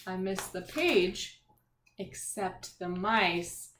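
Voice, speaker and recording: A woman teacher talking young children through a read-aloud and math lesson in an animated, encouraging, sing-song way. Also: medium pitch, drawn-out words. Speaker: primary school math teacher. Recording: echoey room, faint background noise.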